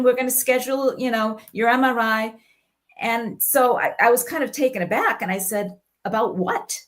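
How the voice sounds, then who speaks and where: A middle-aged woman with a strong Boston accent, telling her health story in a casual online talk and acting out the conversations she had. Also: fairly high pitch. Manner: earnest storytelling, reenacts dialogue, laughs easily.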